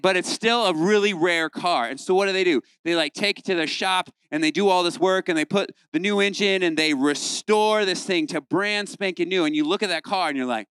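A young man gives a lively, joking sermon to a youth group in a fairly high, crisp voice. The sound is close and dry, with no room around him. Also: dry recording. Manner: lively, playful, acts out quoted voices. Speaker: youth pastor.

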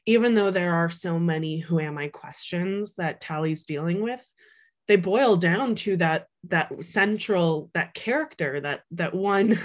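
Young adult woman, lower pitch, chatty interview guest who fills with ums and restarts. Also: unhurried. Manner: chatty, many fillers and restarts, laughs often. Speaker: author guest. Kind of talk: casual interview talk.

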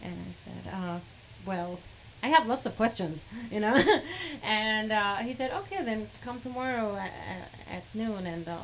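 A woman with an Arabic accent who speaks haltingly, with many fillers, and laughs between sentences as she tells a personal story. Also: medium pitch, animated, slight background noise, rough recording. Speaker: guest sharing a testimony.

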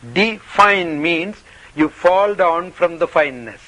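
A man with a high, bright voice gives a spiritual talk in English. He speaks in short, playful phrases and often asks questions. He stops after each phrase so an interpreter can translate it. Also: expressive, takes it slowly, a little hiss or hum, low-quality recording. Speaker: spiritual teacher.

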